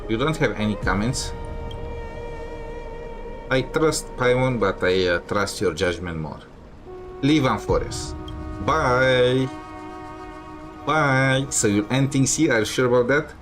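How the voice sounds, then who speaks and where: A man's voice: medium pitch, expressive, a little slow, pauses often. A man giving casual gameplay commentary, reading bits aloud, with a dry, sardonic manner. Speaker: game streamer. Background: game music.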